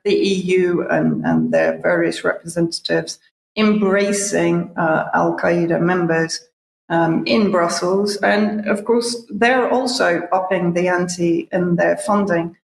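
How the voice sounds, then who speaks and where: Sceptical woman with a gravelly voice. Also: lowish voice, animated, slow pace. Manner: sceptical, reads quotes aloud with asides. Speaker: news presenter. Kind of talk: commentary with read-out quotes. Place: home room with bookshelves. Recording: echoey room.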